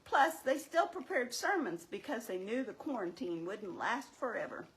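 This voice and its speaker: A woman's voice: fairly high pitch, sing-song, moderate pace, a bit bright. A woman playing a sensible female puppet in a scripted church puppet show, explaining things with wry, chiding teasing. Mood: earnest, correcting.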